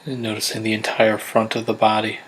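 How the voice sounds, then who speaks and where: A man leads a guided body scan meditation in a low voice. His delivery is very even and steady, with little rise or fall. He gives each instruction gently and without hurry, leaving pauses between phrases. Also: low-quality recording. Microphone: close USB condenser microphone.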